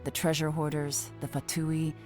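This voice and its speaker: An actress playing a shrewd, composed woman investigator in a video game, speaking in measured, articulate lines. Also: low voice, flat delivery, a little mellow, natural voice. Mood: explaining, measured. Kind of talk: scripted game dialogue. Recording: music playing behind the voice.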